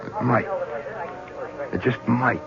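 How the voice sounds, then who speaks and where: An actor playing a wronged, hesitant businessman drawn into revenge in a 1970s radio drama, terse and measured. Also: mid-range voice, natural voice. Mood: grimly resolved. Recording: muffled vintage radio broadcast with music.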